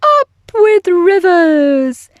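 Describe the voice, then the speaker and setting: Storybook role: friendly duckbill dinosaur voiced by a woman, high, loud, drawn-out hooting, exclamatory and cheerful. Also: sing-song, dry recording, dull audio, exaggerated voice. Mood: joyful hooting. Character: herd of friendly, cheerful duck-billed dinosaurs. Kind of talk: storybook character voice.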